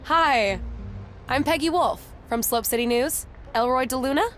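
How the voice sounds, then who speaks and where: An actress playing a curious, composed young newspaper reporter in an adventure game, polite and clearly phrased. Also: female voice, sits high, unhurried, background noise. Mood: friendly, curious. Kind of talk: adventure game narration and dialogue.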